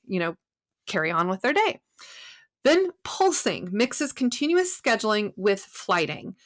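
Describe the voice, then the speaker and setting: A woman hosting a marketing podcast, explaining ideas in a lively way that often checks in with "right?", then interviewing her guest. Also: medium pitch, no echo, clean studio sound. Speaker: podcast host.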